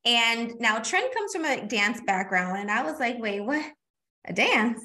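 Woman, podcast guest, chatty, energetic, high-pitched, bright. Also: unhurried. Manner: chatty and energetic, calling the host "girl". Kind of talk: casual interview chat.

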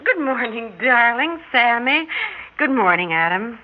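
An actress playing a middle-aged American wife and mother in an old radio drama, apologetic but quietly sure of herself, hesitant and earnest. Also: on the high side, lively intonation, unhurried. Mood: conciliatory. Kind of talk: old-time radio drama acting, first-person narration. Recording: thin phone-like vintage radio sound, music behind.

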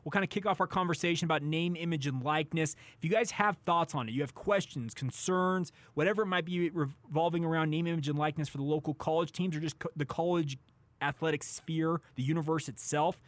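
A man's voice: a little high, brisk, no room sound. A man with an American accent hosting a casual sports radio talk show, chatting and steering the conversation.

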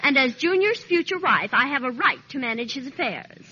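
A woman's voice: high-pitched, animated, moderate pace. An actress playing a prim, precocious, bossy twelve-year-old girl with a haughty, formal manner in old radio sitcom style. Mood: smug, imperious. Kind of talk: 1940s-50s radio sitcom acting. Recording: dull hissy old radio recording.

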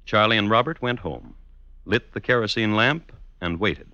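A man hosting and narrating an old-time radio crime drama, deadpan and dryly ironic, with measured phrasing. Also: fairly low pitch, no room sound, lo-fi sound. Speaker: host and narrator.

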